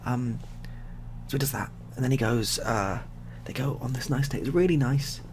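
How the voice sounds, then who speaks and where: A man telling improvised stories in a soft-spoken ASMR style, with a rambling, repetitive, deadpan delivery. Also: mid-range voice, moderate pace. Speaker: ASMR storyteller. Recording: clearly audible background noise.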